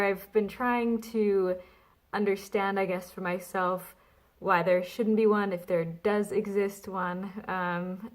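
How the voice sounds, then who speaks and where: A young woman vlogging in a casual, reflective way, rambling with many fillers and restarts. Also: medium pitch. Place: abroad in a Czech-speaking country.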